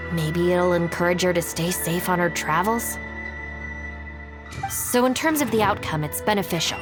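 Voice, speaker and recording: A woman's voice: lowish voice, natural voice. A woman voicing a composed, practical and blunt young woman in a video game, speaking articulately and matter-of-factly. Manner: matter-of-fact, articulate, cool-headed.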